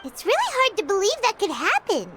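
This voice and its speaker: An extremely high, squeaky little fairy for a fantasy game. She is childlike, chirpy and naive, with eager, lively swings in pitch, against loud background noise. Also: fairly quick, heavily acted. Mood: confident, excited. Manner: eager, refers to herself in third person. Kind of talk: scripted video game dialogue.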